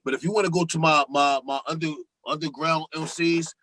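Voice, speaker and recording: Animated casual man, dry close sound. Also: fairly high pitch, brisk, no room sound. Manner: loose, animated, talks over others. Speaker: guest rapper. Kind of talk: casual podcast chat.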